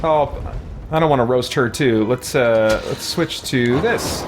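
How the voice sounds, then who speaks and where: A man's voice: mid-range voice, unhurried, background noise. A man hosting a let's play with casual, conversational commentary, musing and easily amused. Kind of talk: casual gaming commentary with chat reading.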